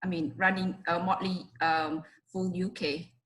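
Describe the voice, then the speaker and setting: A woman's voice: on the low side, level tone, unhurried, a bit bright, slight background noise, poor audio. A woman hosting an interview, putting her questions across hesitantly with many fillers. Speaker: interviewer, host.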